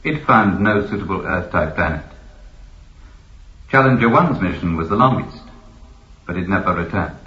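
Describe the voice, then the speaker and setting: Deep, imperious archive keeper. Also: male voice, lively intonation, bright, forceful tone, muffled recording. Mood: informative, detached. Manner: formal, measured, authoritative. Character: imperious, knowledgeable male keeper of an ancient imperial library. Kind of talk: science fiction radio drama acting. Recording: echoey, band-limited old radio recording.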